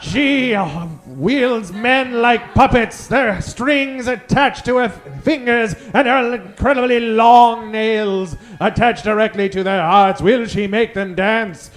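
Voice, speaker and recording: A man announcing and narrating an old-time radio serial, theatrical, with dramatic pauses. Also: very high-pitched. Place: live stage performance. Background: music.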